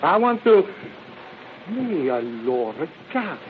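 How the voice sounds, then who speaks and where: A man's voice: on the high side, very animated, slow pace, narrow, tinny audio. A man acting a weary French seaman with a French accent, mixing French phrases into stilted English in a radio drama. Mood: grumbling, wistful. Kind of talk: old-time radio acting. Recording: thin, hissy old radio recording.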